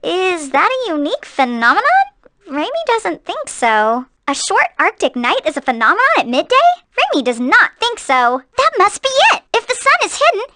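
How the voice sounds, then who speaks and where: A tiny childlike video game sidekick, extremely high and wildly animated. The manner is eager and childish. There is noise in the recording. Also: female voice, normal speed. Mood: curious. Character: tiny excitable childlike sidekick, talks in third person. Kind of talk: cartoonish video game voice acting.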